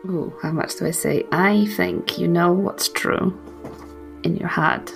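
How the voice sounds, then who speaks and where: Creaky-voiced woman playing a tabletop game. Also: on the low side, a little slow, over music. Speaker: player in a tabletop game podcast. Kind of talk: casual improvised game chat.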